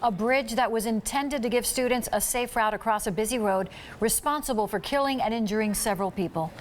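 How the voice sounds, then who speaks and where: A woman's voice: fairly high pitch, fairly quick. Female news anchor, faint background noise. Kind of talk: scripted TV news narration. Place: TV news studio.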